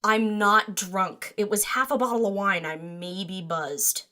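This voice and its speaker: A brash young party mare, played by a woman in a fan audio drama. Her voice is bright and forward at a middling pitch, and she tosses out lines with nonchalant, teasing flippancy. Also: measured pace. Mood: brash, irreverent.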